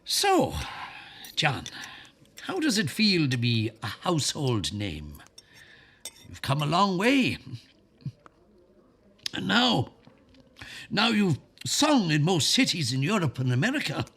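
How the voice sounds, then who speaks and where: An actor playing a wry, talkative Irish novelist in a radio drama, slightly husky and rambling in an unhurried, teasing way. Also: male voice, a little high, wildly swooping pitch, a bit bright, heavily acted. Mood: chatty, relishing.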